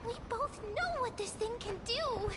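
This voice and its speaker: A tiny squeaky game companion. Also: female voice, animated, very noisy. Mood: urgent. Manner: anxious, quick-reacting, wide-eyed.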